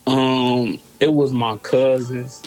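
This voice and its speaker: A young man with a Chicago accent, speaking at a middling pitch in an easygoing, halting way. He falls back on casual slang and trails off mid-thought. Faint music plays under his voice. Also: level tone, a little mellow. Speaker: rapper guest.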